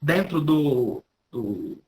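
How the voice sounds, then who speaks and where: A Brazilian man giving an off-the-cuff academic lecture as a professor, halting and often repeating or rephrasing his words. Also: fairly high pitch, big pitch swings, darkish tone. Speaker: history professor, guest lecturer.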